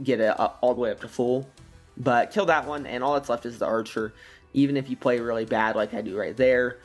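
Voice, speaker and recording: A slightly hoarse man giving relaxed, rambling gameplay commentary, full of fillers and soft chuckles. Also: mid-range voice. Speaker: gaming commentator.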